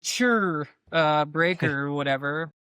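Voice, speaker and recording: A middle-aged man, a guest dancer, talking in a relaxed, thoughtful way with lots of "like" and "you know". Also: fairly high pitch, unhurried, clear, resonant tone, no room sound. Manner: relaxed, thoughtful, uses "like" a lot. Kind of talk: reflective interview talk.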